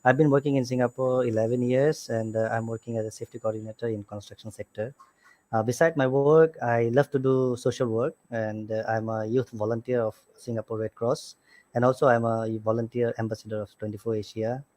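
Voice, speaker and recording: A man's voice: medium pitch, steady tone, fairly quick, darkish tone, no echo. Bangladeshi guy intro-ing himself, polite and keen, very dry close sound. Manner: polite, eager. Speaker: panelist, safety coordinator.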